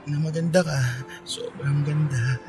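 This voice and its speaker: A man playing a kind, persistent, bashfully smitten young office junior in a Tagalog radio drama, speaking gently and coaxingly. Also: a little high, fairly even intonation, unhurried, soft background music, close to an everyday voice.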